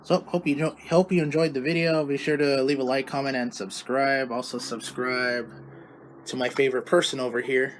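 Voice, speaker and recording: A man's voice: medium pitch, level tone, normal speed. Casual man hosting a hobby vlog, faint noise. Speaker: video host, radio hobbyist.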